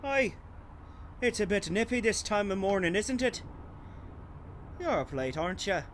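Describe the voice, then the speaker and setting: An actor playing a chatty, inquisitive night-duty British police constable in a radio drama. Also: male voice, high voice, very animated, a little slow, a bit bright, heavily acted. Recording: faint street and traffic noise.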